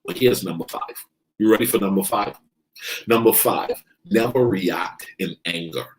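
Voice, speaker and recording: A man's voice: low voice, normal speed, low-quality recording. A man from the Bahamas giving an emphatic motivational talk as a coach, repeating his key phrases for weight. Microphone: online video stream.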